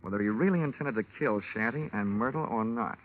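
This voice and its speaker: An actor playing a wisecracking, shrewd insurance investigator in a 1950s radio detective show, with sardonic, punchy, slangy delivery. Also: male voice, lowish voice, a little fast, close to an everyday voice. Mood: sardonic, probing. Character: wisecracking hard-boiled male insurance investigator, brash and shrewd. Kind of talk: 1950s radio detective acting and first-person narration. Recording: muffled, hissy old radio recording.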